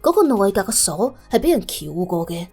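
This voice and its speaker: A woman's voice: medium pitch, very animated, normal speed, a little mellow, husky, faint music behind. A woman with a Cantonese accent narrating a story in a colloquial, dramatic storytelling manner. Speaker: audiobook narrator.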